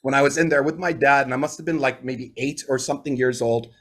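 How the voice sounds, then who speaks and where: A man's voice: mid-range voice, fast, a bit bright. A man in his forties from Bahrain, a native English speaker, telling stories in a chatty, winding way during a video-call interview. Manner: chatty, winding, tags points with "right?". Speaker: guest. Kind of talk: casual interview storytelling.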